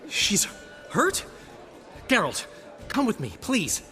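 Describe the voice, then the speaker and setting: An actor playing a dramatic, devoted dandy bard in a video game, theatrical and expressive, with a husky, breathy voice. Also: male voice, sits high, takes it slowly, big-room sound, heavily acted. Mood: shocked, distraught.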